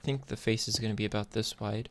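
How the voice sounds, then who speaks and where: A man's voice: fairly low pitch, fairly even intonation, fast, creaky. A man giving casual gameplay commentary in a laid-back, hesitant way, thinking aloud as he goes. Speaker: gaming YouTuber. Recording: noisy mic, clicks and typing.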